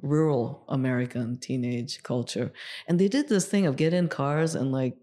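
A middle-aged woman, a native Japanese speaker from Japan, telling stories from her past in a relaxed interview, with many "yeah"s. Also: a bass voice, moderate pace. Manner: reflective storyteller, lots of yeah fillers. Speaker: guest, sex educator. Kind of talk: casual interview conversation.

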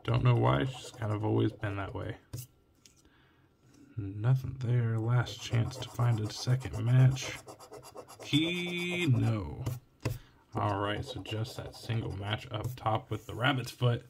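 A young man with an Oregon accent murmurs through his scratch tickets at midnight. His tired voice has gone gravelly and cracks. A coin scrapes faintly on the table behind him. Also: takes it slowly, noisy background. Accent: Oregon US English. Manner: reads symbols aloud in a low, tired murmur. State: tired, voice deeper and cracking late at night. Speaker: scratch-ticket channel host. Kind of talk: casual narration while scratching tickets. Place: at home, at a table at night. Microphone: phone or camera looking down at the table. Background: coin scratching and clicks.

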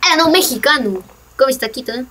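A woman's voice: high-pitched, animated, a little fast, pushed and bright. A young woman from Peru chatting and teasing casually while playing a game with a friend. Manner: playful, teasing, laughs a lot. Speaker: gaming channel host. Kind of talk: casual gameplay chat. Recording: noisy mic, voice from far.

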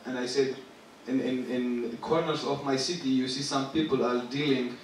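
A young man in his twenties from Syria, a rapper talking on a theater stage, halting and searching for words. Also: medium pitch, steady tone, distant mic, a little hiss or hum. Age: 20s. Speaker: rapper and guest artist. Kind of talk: stage talk. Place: large theater stage with live audience. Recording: echoey stage, picked up from afar.

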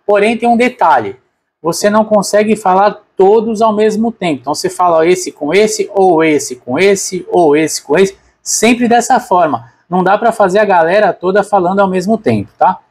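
A man's voice: sits high, normal speed, darkish tone, husky. A man with a Brazilian Portuguese accent narrating a product unboxing casually and unscripted, informally thinking aloud as a reviewer.

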